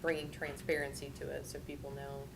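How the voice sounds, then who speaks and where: A woman with a very low, flat, gravelly voice speaks on a school board in an earnest, proposing way. Noise and rumble sit clearly behind her. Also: brisk. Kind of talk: public meeting discussion. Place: school board meeting room.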